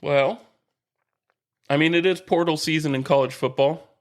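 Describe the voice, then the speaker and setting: A man's voice: a little high, steady tone, moderate pace. A man co-hosting a sports podcast in casual conversation, slangy, with a dry, wry manner.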